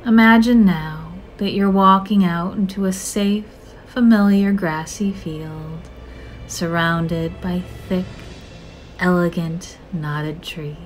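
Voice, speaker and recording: A woman narrating a scripted guided meditation in a soothing, measured, gentle manner with drawn-out phrases. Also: fairly low pitch, steady tone. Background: soft music.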